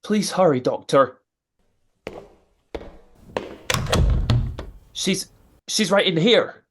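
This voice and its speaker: A man's voice: sits high, expressive, a little slow, background noise. A man playing a nervous, fretful expectant father with an anxious stammer in a radio drama. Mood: flustered. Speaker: amateur radio drama actor.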